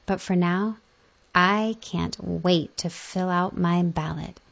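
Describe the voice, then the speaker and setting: A woman's voice: lowish voice, steady tone, slow, vocal fry. A woman reading magazine articles aloud, moving through the text and quotations as a reader. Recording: dull, muffled lo-fi audio.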